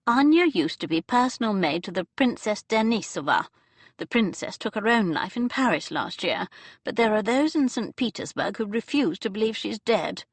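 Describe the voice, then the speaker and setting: A woman narrator voicing an elderly aristocratic dowager duchess who speaks in a lofty, brisk, upper-class manner. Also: medium pitch, lively intonation, slightly rough, dry recording, voice pushed up. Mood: decisive.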